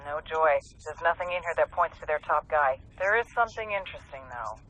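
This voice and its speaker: A man playing a brisk, tough intelligence handler with an American accent in crisp, no-nonsense game radio dialogue. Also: a little high, animated, sharp tone, poor audio. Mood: brisk, businesslike.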